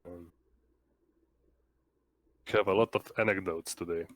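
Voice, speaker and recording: Deep-voiced male tabletop player, flat intonation, terse and halting in character.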